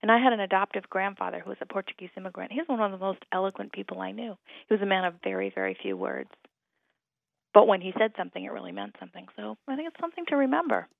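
A woman's voice: medium pitch, sing-song, racing, vocal fry, dry recording. A woman from Hawaii, a writer and radio guest, talking in an articulate, thoughtful way with a few repeated starts, heard over a phone line. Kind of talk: reflective radio conversation.

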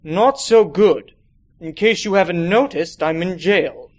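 A man's voice: high-pitched, a little slow. A man reading a story, voicing a grumpy old Santa Claus with a curt, surly manner. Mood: sour.

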